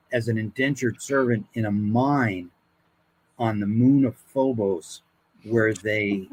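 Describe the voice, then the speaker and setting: A man talking casually as a guest, pitching show ideas in a rambling, playful way. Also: darkish tone, slightly rough, no echo, poor audio. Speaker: guest, voice actor and writer.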